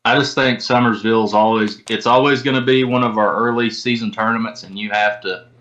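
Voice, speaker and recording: A man with a fairly low but bright, forceful voice chats informally as a podcast guest. His phrasing is folksy and conversational. The sound is slightly dull and lo-fi. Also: steady tone, brisk. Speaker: podcast guest, tournament kayak angler. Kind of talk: casual interview chat.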